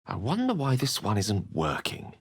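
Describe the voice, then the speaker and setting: A learned wizard from a fantasy video game. He sits on the low side in pitch and talks with literary polish. His temper is wry, romantic and dramatic, and every phrase is carefully articulated for the stage. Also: wildly swooping pitch, normal speed, gravelly, breathy edge, full character voice. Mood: wry, musing. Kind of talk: video game character voice acting.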